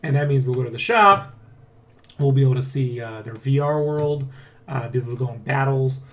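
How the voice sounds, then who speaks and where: A man hosting a casual dev blog, talking in a rambling, excitable way and often checking for agreement. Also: mid-range voice, a little fast. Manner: rambling, keeps seeking agreement with "right?" and "you know?". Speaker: dev blog host and comic artist. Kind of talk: casual dev vlog chat, addressing viewers and co-worker.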